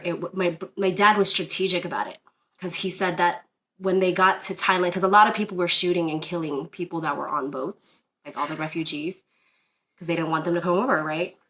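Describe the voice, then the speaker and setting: Woman from Northern Virginia, low voice, earnest, rambling, casual interview guest. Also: steady tone, normal speed, a bit bright, slight background noise. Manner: earnest, rambling with many fillers and restarts.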